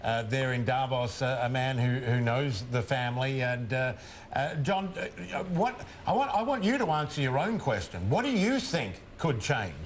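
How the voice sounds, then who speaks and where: A man's voice: medium pitch, very animated, normal speed. Male anchor on live news, mid voice, off the cuff and repeating himself a bit, faint noise.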